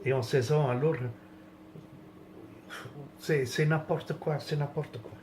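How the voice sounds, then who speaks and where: A man's voice: on the high side, steady tone, slow pace, darkish tone, a little hiss or hum. A man from France in a rambling, indignant rant, with many restarts and trailing phrases.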